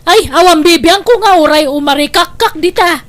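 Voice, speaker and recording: A woman playing a loud, dismissive wife with an Ilocano accent in a comedy drama, exclaiming and scoffing. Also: extremely high pitch, moderate pace, voice pushed up. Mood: scoffing, defiant. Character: loud, dismissive wife planning to fix up her house.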